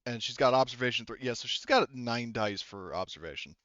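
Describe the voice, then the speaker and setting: A man narrating a tabletop sci-fi game as game master, conversational and halting, repeating words, on a headset. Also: medium pitch, crowd noise. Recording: dull close headset sound.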